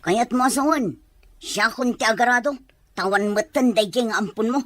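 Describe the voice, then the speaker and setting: A woman playing a gruff, scornful rural aunt who scolds and jabs sarcastically in an audio drama. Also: fairly high pitch, normal speed, a bit bright, no echo. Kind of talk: Ilocano audio drama dialogue.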